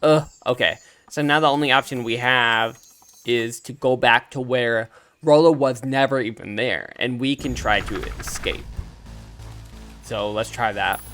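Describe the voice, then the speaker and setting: A man giving casual, animated game commentary as a let's play streamer, reacting aloud to the story. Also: mid-range voice, a little slow. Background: game music.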